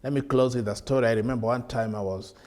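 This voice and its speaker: Earnest Kenyan bishop preaching in studio. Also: male voice, medium pitch, fairly even intonation, darkish tone. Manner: earnest, repetitive rhetorical questions, drawn-out emphasis. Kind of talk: televised sermon teaching. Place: TV studio, seated at a desk.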